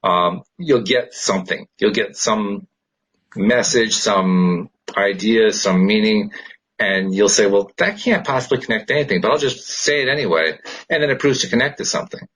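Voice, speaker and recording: A man hosting a podcast in casual conversation, with a thoughtful, halting delivery. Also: lowish voice, fairly bright tone, breathy edge, poor audio. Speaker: podcast host.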